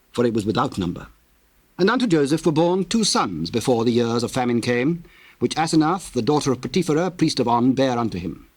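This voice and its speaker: A man narrating scripture in a solemn, measured, formal way with theatrical diction. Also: mid-range voice, a little mellow, no echo.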